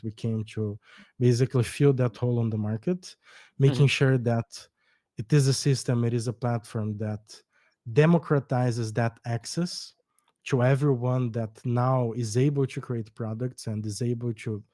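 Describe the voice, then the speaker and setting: A man's voice: medium pitch, mellow, no room sound, clean studio sound. A young adult man from Brazil with a Brazilian Portuguese accent, a startup co-founder explaining his company earnestly in a podcast interview.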